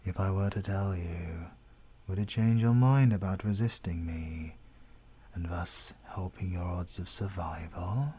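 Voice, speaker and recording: A British man playing a menacing, pompous male supervillain in an audio roleplay, with a breathy voice that often drops to a whisper. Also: deep voice, warm tone. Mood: smug, threatening. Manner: slow, deliberate, pompous and threatening. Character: menacing, mysterious male supervillain who drains life force. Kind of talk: ASMR audio roleplay monologue.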